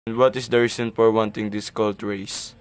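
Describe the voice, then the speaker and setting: A chief telephone operator played by a man in an amateur radio play. He has a lowish voice and speaks formally, by the book, with patient skepticism. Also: level tone, normal speed, clear, resonant tone, dry recording, close to an everyday voice. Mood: formal, doubtful. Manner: formal, procedural.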